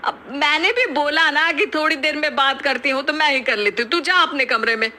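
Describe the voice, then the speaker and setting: A woman as high-voiced elderly Indian mother-in-law. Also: normal speed, pushed and bright, a little hiss or hum. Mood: curt, distracted. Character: elderly Indian mother-in-law, curt yet affectionate, phone-obsessed. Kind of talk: Hindi moral cartoon story voice acting.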